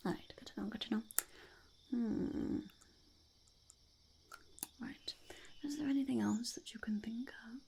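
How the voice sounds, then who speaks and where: Woman as a creaky, whispering, scheming goblin. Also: a little high, dark tone, a little hiss or hum. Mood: probing, secretive. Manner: halting, speaks of self in third person, mock-formal. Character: scheming, self-important goblin interviewing would-be henchmen. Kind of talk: whispered ASMR roleplay.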